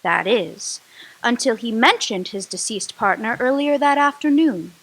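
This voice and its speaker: A woman narrating a radio drama in a measured, suspenseful storytelling way. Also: fairly high pitch, animated, fairly bright tone, slightly rough, rough recording.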